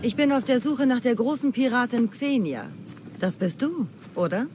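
A woman's voice: on the high side, expressive, moderate pace, music in the background, natural voice. A woman voicing a determined young mercenary in a video game, earnest and direct. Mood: direct, resolute. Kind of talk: video game cutscene dialogue. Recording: clear outdoor ambience behind.

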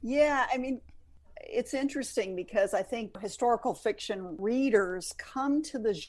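A woman's voice: fairly high pitch, moderate pace, a little mellow, no echo. Middle-aged woman interview guest, dry close sound. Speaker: interview guest, author and art historian. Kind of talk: conversational interview answers.

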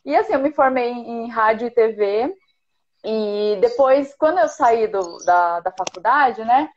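A woman's voice: fairly high pitch, lively intonation, a little slow, dull audio. A woman in her thirties from the São Paulo countryside with a caipira accent, chatting as a guest on a video call, hesitant with many restarts. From interior of São Paulo state, Brazil. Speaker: guest, voice-acting student. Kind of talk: casual video-call chat. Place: small room at home. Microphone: laptop webcam on a video call.

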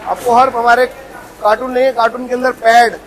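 A man showing visitors around a footwear factory, pitching its products with eager, insistent promises. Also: level tone, brisk. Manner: eager salesman, keeps inviting and promising quality. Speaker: footwear factory owner giving a tour. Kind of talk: sales pitch factory tour. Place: footwear factory floor, indoors. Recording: noisy factory machinery behind.

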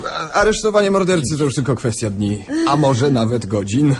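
An actor playing a dutiful junior detective with dry humour in a radio play, polite and matter-of-fact. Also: male voice, mid-range voice, expressive, brisk, a crowd in the background, close to an everyday voice. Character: dutiful, dryly joking male junior detective.

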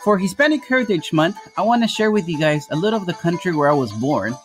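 A man's voice: high voice, animated. A Guatemala-born man who teaches math, giving a casual slide presentation to his students and explaining things in a friendly, plain way. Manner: friendly, addresses students as "you guys", explains slowly. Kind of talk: informal classroom presentation over slides. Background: marimba music playing softly.

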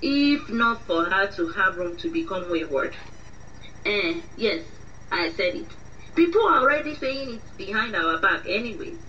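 Radio drama: accusing middle-aged Nigerian aunt, scolding, slightly off-mic on a dull recording. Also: female voice, mid-range voice, big pitch swings, pushed and bright. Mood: scornful. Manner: scolding, drawn-out emphasis. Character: scornful, suspicious middle-aged Nigerian auntie, blunt and accusing. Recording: dull, distant, faintly noisy recording.

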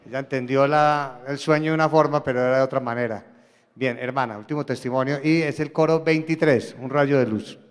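A man's voice: mid-range voice, fairly quick. A man leading a church service, addressing the congregation between testimonies. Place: large church hall during a service. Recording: echoing large hall, faint congregation sounds.

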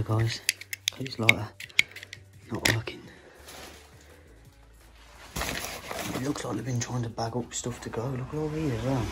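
A man filming himself with a phone as he explores an abandoned house indoors, talking low and hurried to his viewers. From England. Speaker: urban explorer filming a walkthrough. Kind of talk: casual handheld vlog commentary. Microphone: handheld phone. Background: background music.

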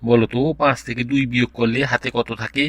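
A man's voice: medium pitch, flat delivery, quick pace, a bit bright, no room sound, natural voice. A man voicing a strict, impatient education minister who quizzes pupils in a comedy cartoon. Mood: questioning. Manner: bossy, interrogating.

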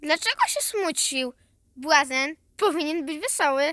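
A woman playing a curious, chatty little granddaughter in a children's radio play, eager and excitable. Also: high-pitched, unhurried, no room sound, studio quality, exaggerated voice.

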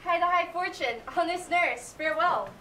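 A woman's voice: extremely high pitch, moderate pace. A woman playing an impatient, lovesick young girl in a Shakespeare play, pleading and declaiming. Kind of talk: Shakespearean stage acting. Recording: echoey room, distant mic, faint noise.

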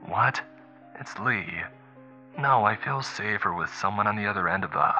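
A man's voice: on the low side, animated, moderate pace, bright, forceful tone, soft background music, pitched above the performer's own voice. A man voicing a terrified young woman who whispers tearfully on a phone call while hiding. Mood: frightened whisper.

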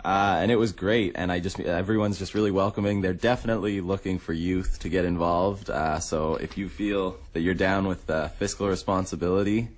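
A young adult man from Canada chatting casually and a little haltingly as a radio host in a studio. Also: low-pitched, fairly even intonation. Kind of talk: casual chat.

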